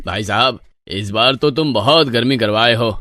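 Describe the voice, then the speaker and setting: A gossipy village man acted by a male reader passes judgement on his neighbours with open disapproval. His pitch is middling and the recording is dry and close. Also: normal speed, sharp tone, no room sound, lightly acted. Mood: mocking.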